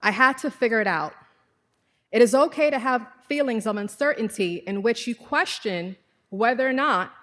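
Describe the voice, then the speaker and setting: A woman with a Trinidadian accent delivers a formal commencement address, measured and earnest. Her voice sits a bit high for a woman. Long reverb from a large hall trails after each phrase. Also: fairly bright tone. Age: 40s. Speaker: commencement speaker, teacher.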